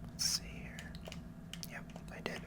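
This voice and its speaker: A person whispering gentle, unhurried, conversational ASMR commentary while playing a video game, close to the microphone. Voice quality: soft breathy whisper with creaky edges.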